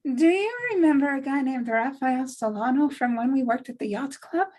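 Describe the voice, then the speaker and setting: A woman's voice: sits high, normal speed, darkish tone. A woman playing a flustered, sheepish young waitress in a script table read, awkward and defensive.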